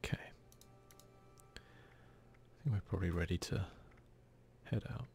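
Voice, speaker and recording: A man's voice: low voice, a little slow, gravelly, a little mellow, soft background music. A man with a British accent giving casual, whispered ASMR commentary as he plays a video game. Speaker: gaming video host.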